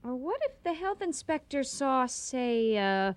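An actress playing an excitable, vengeful restaurateur in a radio comedy, with a flustered and emphatic manner. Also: female voice, high voice, measured pace. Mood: conspiratorial. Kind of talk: 1980 radio theater comedy acting.

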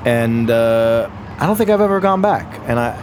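A man in his thirties, a musician, telling stories in a rambling, animated way in casual conversation, laughing often. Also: medium pitch, normal speed, slight background noise. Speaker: musician and podcast guest.

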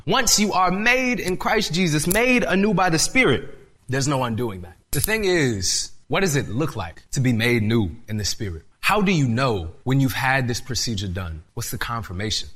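Lively young preacher, a bit raspy. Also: male voice, fairly high pitch, normal speed. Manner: earnest, lively delivery with rising emphasis. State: slightly raspy voice. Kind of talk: sermon with scripture reading. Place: church hall with audience.

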